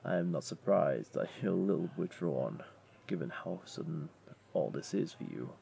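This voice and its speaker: A man playing a flirty, comforting jaguar beastling in an intimate audio roleplay, gently coaxing the listener along. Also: low-pitched, lively intonation, moderate pace, creaky, slight background noise, full character voice. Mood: reassuring, friendly. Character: flirty, comforting male jaguar beastling, patient restaurant owner.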